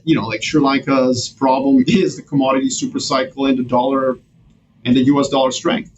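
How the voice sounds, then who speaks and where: A man from Serbia, a guest on a podcast, talking in an animated, slangy way with many restarts. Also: mid-range voice, lo-fi sound, a little hiss or hum. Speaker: guest strategist. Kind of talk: casual podcast conversation.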